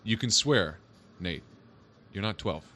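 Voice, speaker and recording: A man playing a calm, probing police officer in an audio drama, speaking casually and patiently. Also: on the low side, takes it slowly, a little hiss or hum, full character voice. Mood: coaxing, reassuring. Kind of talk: naturalistic audio drama acting.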